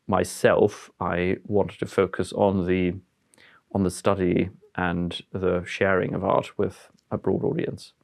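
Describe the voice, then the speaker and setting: Curator guy around 40, raised in france, deep + gravelly, kinda searching for words. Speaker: museum curator. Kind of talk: interview answers. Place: historic house, indoors.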